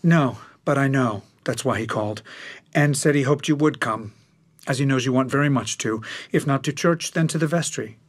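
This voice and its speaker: An actor playing a careful, placating middle-aged husband in a naturalistic radio drama, speaking tentatively and haltingly. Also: male voice, medium pitch, breathy edge, lightly acted.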